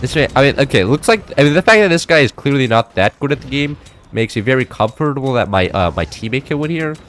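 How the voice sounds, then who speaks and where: Teen dude rambling over gameplay, kinda high voice, lots of likes and restarts. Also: male voice, lively intonation, fast, a bit bright, slight background noise. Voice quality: slightly high-pitched for a man. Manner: rambling, many fillers and restarts. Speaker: gameplay streamer. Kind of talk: casual chat while playing.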